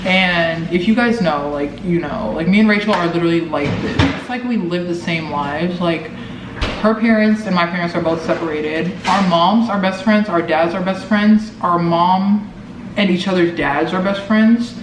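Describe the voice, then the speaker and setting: A man's voice: sits high, normal speed. An eighteen-year-old man with an American accent, chatting casually and ramblingly to camera with lots of filler words. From Omaha, Nebraska, United States. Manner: informal, rambling, heavy use of "like". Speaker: vlogger. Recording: echoey noisy room, distant mic.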